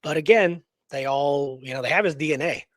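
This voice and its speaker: A man's voice: on the high side, fairly quick, no room sound, studio quality. A man co-hosting a radio show, recounting history in a casual, enthusiastic, informal way.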